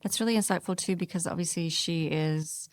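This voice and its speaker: A woman with a low voice and plenty of vocal fry chats on a podcast panel. She is recorded dry, and her delivery is conversational. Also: brisk, dry recording. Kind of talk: casual panel discussion.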